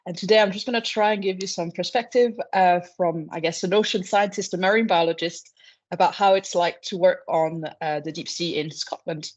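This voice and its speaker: A woman, a researcher giving an online talk to teachers in a relaxed, explanatory way with frequent fillers. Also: lowish voice, a little fast. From France. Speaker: marine biology researcher. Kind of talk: online presentation. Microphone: video call. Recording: dull lo-fi video call audio.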